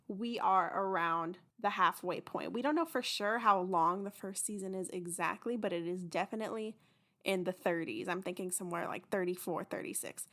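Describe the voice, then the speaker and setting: A woman's voice: medium pitch, a bit bright. Casual young woman hosting, mid-range voice. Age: young adult. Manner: chatty, informal. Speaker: host and producer of an audio drama podcast. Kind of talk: casual listener update.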